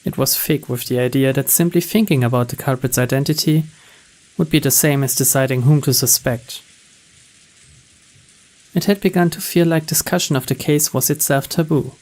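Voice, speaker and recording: A man's voice: mid-range voice, normal speed, rough recording. A man reading a game's text aloud as dramatic scripted narration. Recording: steady rain noise behind. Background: rain.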